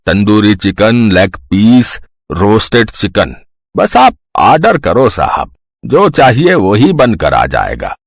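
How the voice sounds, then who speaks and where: A man's voice: fairly low pitch, wildly swooping pitch, slow pace, vocal fry, no room sound, clean studio sound, voice pushed down, exaggerated voice. A man voicing a poor, kindly old village butcher, humble and pleading, in a cartoon story. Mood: eager. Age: older. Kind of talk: Hindi cartoon story dialogue.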